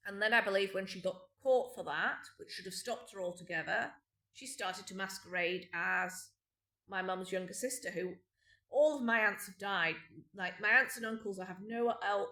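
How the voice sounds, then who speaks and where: Bright, aggrieved British woman in echoey room. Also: mid-range voice. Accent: British English. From Britain. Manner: rambling, aggrieved, with frequent restarts and ums. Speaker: vlogger. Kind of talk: unscripted personal monologue. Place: home indoors.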